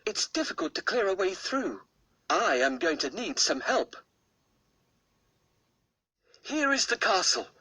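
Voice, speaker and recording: A man's voice: high voice, very animated, a little slow, long pauses, pushed and bright, breathy edge, dry recording, voice pushed up, full character voice. A man voicing a brave, determined young prince in a storybook reading.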